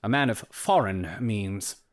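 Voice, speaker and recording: An actor playing a brilliant, eccentric Victorian detective in an audio drama, speaking with precise, clipped self-assurance. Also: male voice, on the low side, animated, takes it slowly. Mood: confidently deducing.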